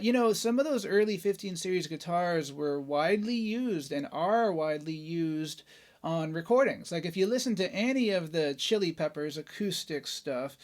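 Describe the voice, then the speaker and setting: A man hosting a guitar show, talking casually and enthusiastically in a chatty, informal way about gear. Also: a little high. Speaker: host of a guitar review show. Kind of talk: casual gear talk and demonstration.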